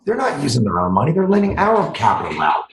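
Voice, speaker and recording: A man with a raspy, somewhat hoarse voice speaks with lively swings in pitch. He sits a little high for a man and sounds animated. The room is echoey, with faint noise and clicks behind him. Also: fast. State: hoarse from yelling. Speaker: guest, financial planner. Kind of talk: casual interview chat.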